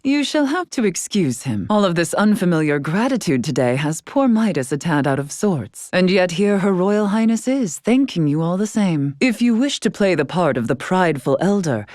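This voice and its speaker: An actress playing a composed, wise fantasy woman in a video game, speaking in measured, archaic and eloquent phrases with dry wit. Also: on the low side, big pitch swings, darkish tone, no room sound. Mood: teasing. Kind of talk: scripted video game character acting.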